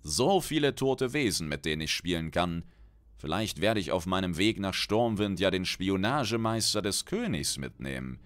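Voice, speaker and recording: A man's voice: deep voice, big pitch swings, moderate pace, a bit bright, dry recording. A man voicing a menacing, grandiose undead female necromancer with a hollow tone in a fantasy audiobook. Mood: gloating threat. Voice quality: hollow-sounding.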